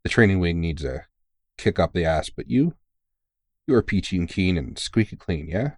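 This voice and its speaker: A low-pitched man performing as a battle-worn vampire hunter in an ASMR audio roleplay. He is tired but kind, reassuring a rookie with teasing, informal, sweary talk. The sound is dry, with very little room to it. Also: lively intonation, moderate pace, a little mellow, no room sound. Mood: fond, reassuring. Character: tired, kindly veteran male vampire hunter mentoring a rookie.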